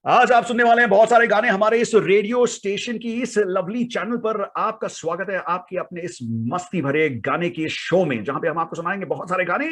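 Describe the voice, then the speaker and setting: A man playing a breezy, upbeat music radio presenter with rapid-fire patter. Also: on the high side, a bit bright, breathy edge, voice pushed up. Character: breezy fast-talking Hindi music radio show presenter.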